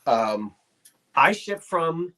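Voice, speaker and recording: A man's voice: fairly high pitch, big pitch swings, very slow, pushed and bright. Chatty South Jersey man hosting a stream. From South Jersey, Delaware Valley. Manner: rambling, restarts sentences often. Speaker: live sale host. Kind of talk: casual live-stream chat.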